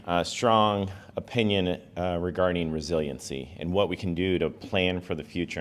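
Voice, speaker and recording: A hoarse man giving an informal presentation to an audience as a guest speaker, speaking in a plain, conversational way. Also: sits low. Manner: conversational, plain-spoken, self-effacing.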